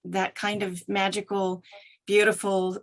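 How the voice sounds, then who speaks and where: A woman's voice: mid-range voice, level tone, measured pace. A woman answering as an interview guest in a conversational, reflective way, earnest and thoughtful. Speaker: interview guest, audiobook narrator.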